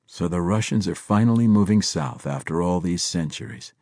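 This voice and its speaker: Man playing a low-voiced, restrained secret agent. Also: expressive, normal speed, mellow, dry recording, clean studio sound. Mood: quietly bitter. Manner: mild, conversational, understated. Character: cool, weary male secret agent, wry and restrained.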